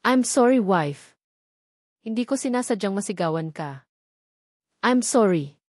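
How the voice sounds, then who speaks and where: A woman's voice: mid-range voice, lively intonation, measured pace, darkish tone, dry recording, clean studio sound. A woman voicing a serious, exasperated husband who pleads with his wife in a romance story. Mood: apologetic. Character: stern billionaire husband, exasperated yet apologetic man.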